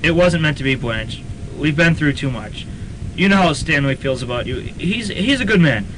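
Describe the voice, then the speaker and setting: A man playing an earnest, troubled college ghost investigator with halting, stammering delivery in a student radio drama. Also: on the high side, lively intonation, natural voice. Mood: troubled, regretful. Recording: slightly noisy old radio tape.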